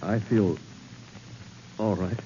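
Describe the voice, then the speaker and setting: An actor playing a brave, level-headed young intern, earnest and measured, in 1940s radio drama style. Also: male voice, on the low side. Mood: pleading, coaxing. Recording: dull, hissy 1940s radio recording.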